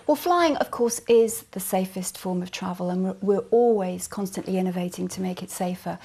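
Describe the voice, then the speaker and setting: A middle-aged woman speaks as an airline manager in a TV studio. Her answers are fluent and corporate, with lots of ums, and her voice sits in an average pitch. She sounds close to a clip-on mic. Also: moderate pace, vocal fry, dark tone, breathy edge. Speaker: airline commercial manager, guest. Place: small TV studio set.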